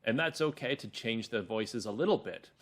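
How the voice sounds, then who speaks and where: A man teaching a children's reading lesson and narrating a story, clearly and deliberately, in an instructional way. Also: lowish voice, expressive. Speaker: teacher, host.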